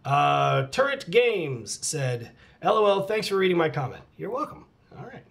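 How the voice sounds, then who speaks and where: A man's voice: fairly high pitch, sing-song, moderate pace, gravelly, a bit bright. A middle-aged man at home, casually reading and answering fan comments, laughing often and dropping into muttered asides. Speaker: channel host answering fan questions. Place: at home with his cat.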